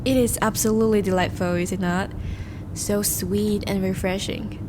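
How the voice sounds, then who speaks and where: A woman as a courteous, lovelorn noble vampire, noisy rumble. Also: fairly even intonation, normal speed, lightly acted. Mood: gracious, flirtatious. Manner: formal, courteous, hesitant, soft-spoken confessions. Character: once-noble, charming, lovelorn female vampire on a date. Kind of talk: intimate romantic audio roleplay addressed to the listener.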